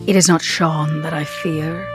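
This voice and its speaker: A woman's voice: low-pitched, fairly even intonation, measured pace, over loud music. A woman playing a small, steely, menacing female vampire in a tabletop game, speaking tersely and formally. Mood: grave, menacing.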